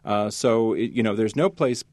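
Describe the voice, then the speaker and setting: A man who is a journalist appears as a guest in a radio interview. He speaks haltingly, with many fillers and restarts. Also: lowish voice, darkish tone, no room sound.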